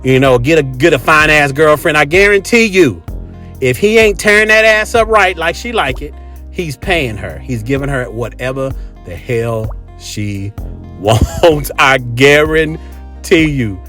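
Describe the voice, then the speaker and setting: A man's voice: a little high, lively intonation, measured pace, sharp tone, faint music behind. An American man hosting a podcast, giving a casual, opinionated monologue in an emphatic, repetitive, slangy way. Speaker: podcast host.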